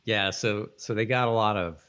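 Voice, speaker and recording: A man co-hosting a podcast, casually recapping a TV episode in a rambling, halting way and often repeating himself. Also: on the low side, a bit bright, clear, resonant tone. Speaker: podcast co-host.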